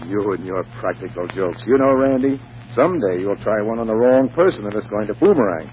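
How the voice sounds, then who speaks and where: An actor playing a smooth-talking, slightly bashful businessman in a 1940s radio drama, polite and deliberate. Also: fairly low pitch, animated, lightly acted. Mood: amused, breezy. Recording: muffled, hissy 1940s radio recording.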